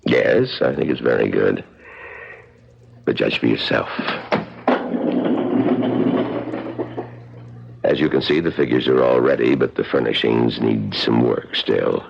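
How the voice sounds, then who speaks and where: Very deep, gravelly museum attendant. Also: male voice, moderate pace, a little hiss or hum, exaggerated voice. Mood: courteous. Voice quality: gravelly, husky. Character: courteous, morbid old male murder museum attendant. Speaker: actor in radio mystery drama. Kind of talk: 1970s radio drama acting. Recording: dull lo-fi old radio broadcast.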